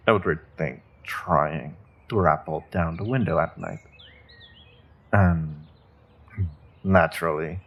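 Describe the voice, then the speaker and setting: A man playing a menacing, courteous evil sorcerer warlord in a fantasy audio roleplay, gloating in a mock-polite, deliberate way with a gravelly, husky voice. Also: low voice, full character voice. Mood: smug menace. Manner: slow, deliberate, mock-polite, savoring threats with drawn-out pauses. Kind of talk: fantasy audio roleplay, speaking directly to a captive listener.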